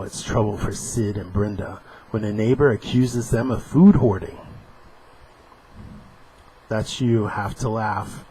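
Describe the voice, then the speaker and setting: A man's voice: lowish voice, darkish tone, a little hiss or hum, natural voice, low-quality recording. A man reading aloud as a bland male television announcer, promoting programmes.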